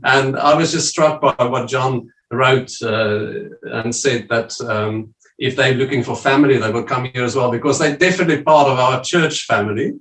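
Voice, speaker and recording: A man's voice: medium pitch, normal speed, fairly bright tone, roomy sound, far from the mic, poor audio. A South African man presenting to a church group over a video call, speaking hesitantly with many fillers. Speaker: visiting church leader presenting. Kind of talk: casual talk on a video call.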